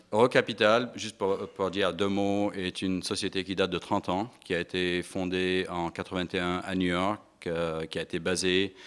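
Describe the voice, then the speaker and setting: A middle-aged man giving a speech to an audience in an event hall, halting with many fillers and restarts. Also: low voice, fairly bright tone, clear, resonant tone. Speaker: investment firm partner giving a speech. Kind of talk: conference speech.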